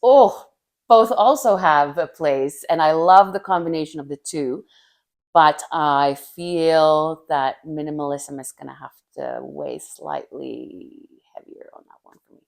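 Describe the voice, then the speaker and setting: A low-pitched woman from Finland, an artist in her thirties, answers quick questions in a casual interview. She speaks earnestly and thoughtfully, warming into small laughs, from a table in a bright, art-filled living room. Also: creaky. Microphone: camera across a table.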